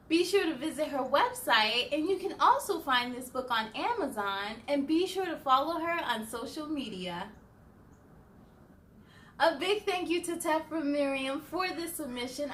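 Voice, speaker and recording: A woman hosting a children's story time, reading aloud in an expressive, animated way with playful asides. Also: high voice, a little hiss or hum.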